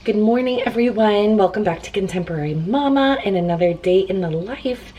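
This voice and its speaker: Hoarse young mom vlogging at home. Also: female voice, medium pitch, lively intonation, fairly quick, music in the background, rough recording. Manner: chatty, upbeat, laughs between phrases. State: hoarse from laryngitis. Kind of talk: casual day-in-the-life vlog talk. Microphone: handheld camera.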